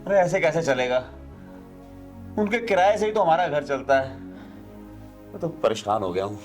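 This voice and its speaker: An elderly landlord acted by a man with a high voice. He is anxious and exasperated in the melodramatic manner of a short film, with faint music behind. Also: expressive, a little slow.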